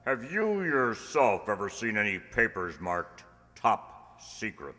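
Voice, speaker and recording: An actor playing a stuffy, suspicious army general with a clipped, pompous manner in a radio play. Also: male voice, on the low side, sing-song, takes it slowly, bright, forceful tone, heavily acted. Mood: suspicious, stern. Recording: echoey hall with long reverb.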